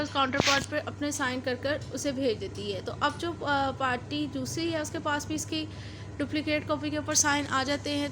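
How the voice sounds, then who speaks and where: High-pitched Pakistani woman lecturing. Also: background noise. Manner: didactic, step-by-step, repeats and rephrases points. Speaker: law lecturer. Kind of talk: explanatory lecture, mixing English legal terms.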